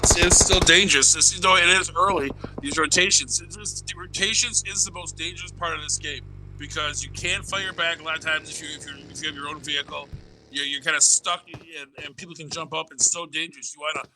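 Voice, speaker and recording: A man with a somewhat high voice gives live analysis of an online shooter match, explaining tactics like a coach. Gunfire and vehicle roars from the game sit clearly under his voice. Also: fairly even intonation, a little fast, bright, forceful tone, slight background noise, low-quality recording. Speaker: esports caster and analyst.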